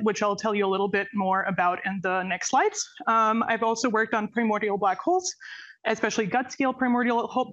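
A woman's voice: medium pitch, fairly bright tone, muffled recording. A young woman from Serbia, a postdoc researcher, giving an academic presentation with a nerdy, playful manner and many fillers and self-corrections. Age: young adult.

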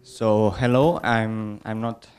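A man's voice: on the low side, slow, a little mellow, slight background noise. A man, a student, introducing himself in a friendly, slightly nervous way. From Spain. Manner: friendly, a bit nervous, laughing.

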